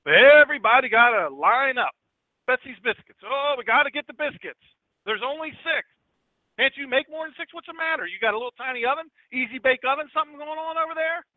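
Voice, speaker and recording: A man's voice: extremely high pitch, animated, a little fast, no echo, narrow, tinny audio, pitched above the performer's own voice. A man voicing an angry, sarcastic mother in her thirties, ranting scornfully. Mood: scornful anger. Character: furious grieving thirty-something mother, bitterly sarcastic.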